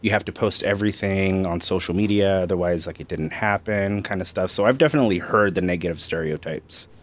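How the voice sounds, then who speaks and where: A man's voice: deep voice, fairly quick. A man in his thirties, chatting casually as an interviewee. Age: 30s.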